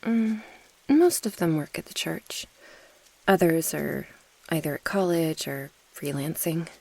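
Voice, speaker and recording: A young mage girl acted by a woman speaks in a soft, breathy voice with some creak. She is earnest and protective, in the close style of a fantasy ASMR roleplay. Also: sits low, lively intonation, a little slow, dry recording, full character voice, low-quality recording. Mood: friendly, gentle.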